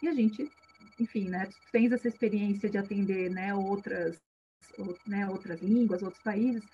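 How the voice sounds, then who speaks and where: A woman's voice: mid-range voice, normal speed, warm tone. Woman, reflective, hesitant, video call, muffled audio. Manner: reflective, many hesitations and "né" tags. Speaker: psychologist in a migration project. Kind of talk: thoughtful spoken reply in a panel discussion. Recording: dull lo-fi video call audio with faint hum.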